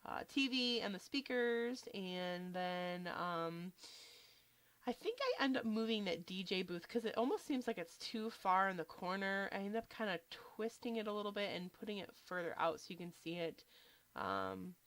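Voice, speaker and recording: A woman with a slight cold, a little nasal, casually narrating a game build in a relaxed, chatty way with lots of fillers. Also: medium pitch, normal speed, dry recording. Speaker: game building video creator.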